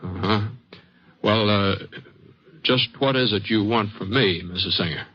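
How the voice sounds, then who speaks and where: An actor playing a mild, reluctant American gunfighter with a hesitant, folksy way of talking, in an old-time western radio drama. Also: male voice, fairly low pitch, unhurried, breathy edge. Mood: reluctant, gentle. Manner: hesitant, folksy, understated drawl. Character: mild, reluctant middle-aged western gunfighter telling his tale. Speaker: actor and narrator. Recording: dull vintage radio recording, music behind.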